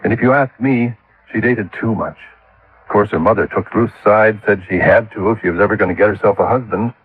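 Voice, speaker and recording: An actor playing a gruff, sceptical middle-aged husband and father with a blunt, scolding way of talking in a radio drama. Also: lowish voice, a little fast, dry recording. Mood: weary, frank. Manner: blunt, scolding, plain-spoken. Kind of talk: 1950s radio drama acting. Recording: tinny vintage radio, band-limited.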